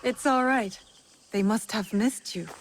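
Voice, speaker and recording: A woman's voice: a little high, measured pace, slight background noise, lightly acted. A woman playing a loyal young warrior, supportive and dryly teasing, in a video game drama. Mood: reassuring, teasing.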